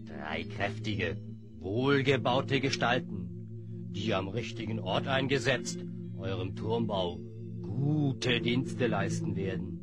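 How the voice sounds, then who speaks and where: A man playing a gruff, grumbling slave trader who haggles, in a fantasy audio drama. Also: lowish voice, slow pace, over loud music. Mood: salesmanlike.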